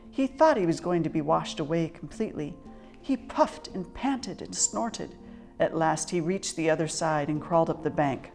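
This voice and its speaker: A woman reading a children's picture book aloud as an expressive, dramatic storyteller. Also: sits low, gravelly. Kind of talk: expressive scripted story narration.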